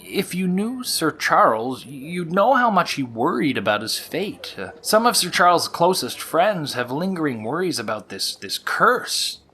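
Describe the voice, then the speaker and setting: Casting: courteous but nervy gentleman sleuth for audio drama; bright voice, lively pitch, halting stammer. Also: breathy edge, full character voice. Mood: polite, probing. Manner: hesitant, stammering, polite. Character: Doctor Watson, polite, nervous gentleman investigator.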